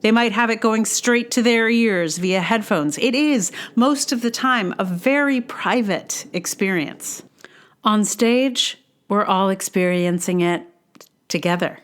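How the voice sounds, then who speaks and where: A woman podcaster with a mid-range voice reads her own essay aloud. She takes her time, speaking slowly and deliberately in short phrases with pauses between them. The delivery is that of a writer sharing personal reflections. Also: medium pitch. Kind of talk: reading a personal essay aloud, with casual asides.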